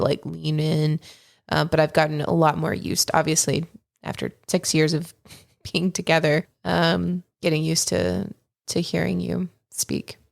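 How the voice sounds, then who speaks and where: A woman's voice: low-pitched, gravelly. A woman co-hosting a podcast, chatty and upbeat, laughing easily while she talks. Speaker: podcast co-host. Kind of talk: casual podcast chat.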